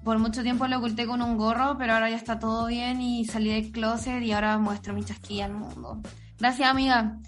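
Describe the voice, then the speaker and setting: A woman's voice: fairly high pitch, flat delivery, a little fast, faint music behind. A young adult woman with a Chilean accent hosting a casual podcast chat among friends, informal and slangy, swearing freely. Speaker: podcast host.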